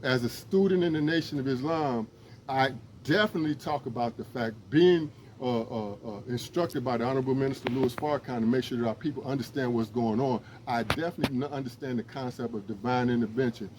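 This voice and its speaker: A man's voice: medium pitch, brisk. A man talks straight to his viewers in a mid-range voice and asks them for help. He rambles, restarts and pleads, earnest and direct. A faint background noise sits behind him outdoors. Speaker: emergency management instructor. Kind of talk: casual direct-to-camera appeal.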